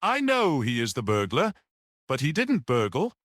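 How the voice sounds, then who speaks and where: A man voicing a modest priest detective in an audiobook, quiet, questioning and sceptical. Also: mid-range voice, huge pitch swings, moderate pace, no room sound, clean studio sound, voice pushed up. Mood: quiet challenge.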